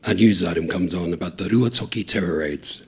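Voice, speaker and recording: A man giving an expressive, animated reading of a short story, voicing each character. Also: sits low, brisk, husky. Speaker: story reader.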